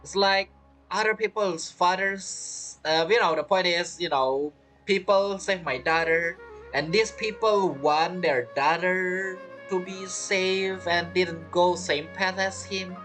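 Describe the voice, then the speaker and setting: A man's voice: high-pitched, slow pace, fairly bright tone, faint music behind. A man giving casual gameplay commentary as a streamer, rambling and playful, with non-native phrasing. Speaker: game streamer.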